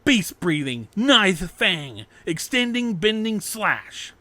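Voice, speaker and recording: A man's voice: high-pitched, sing-song, slow, pushed and bright, no echo, pitched above the performer's own voice, heavily acted. A man voicing a wild, aggressive young fighter calling out attacks emphatically in a fan fiction story. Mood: fierce.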